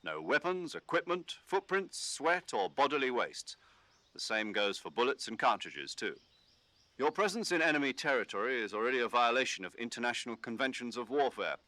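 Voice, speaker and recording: A man voicing a calm commanding officer who gives instructions over the radio in a game. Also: mid-range voice, no room sound. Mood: steady. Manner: measured, instructive briefing tone. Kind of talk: game dialogue.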